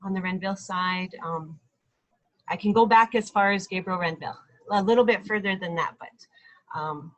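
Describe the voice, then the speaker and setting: A middle-aged woman from South Dakota introducing herself as an election candidate in a live video stream, speaking in an earnest, measured way with frequent ums and pauses. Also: medium pitch. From Lake Traverse Reservation area, South Dakota. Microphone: webcam or phone, live video stream.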